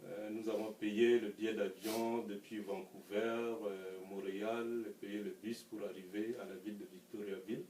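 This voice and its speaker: Low-voiced African man in an echoey room. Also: fairly even intonation, moderate pace, few pauses, darkish tone. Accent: francophone African. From francophone Africa. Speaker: interview guest. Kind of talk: recounting personal experience in an interview. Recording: echoey room, distant mic, faint background noise.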